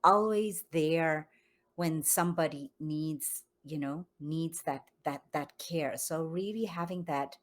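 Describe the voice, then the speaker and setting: A woman from Zambia, a yoga studio founder, speaking calmly and reflectively as a guest on a video call interview. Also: sits low, slow. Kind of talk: online interview conversation.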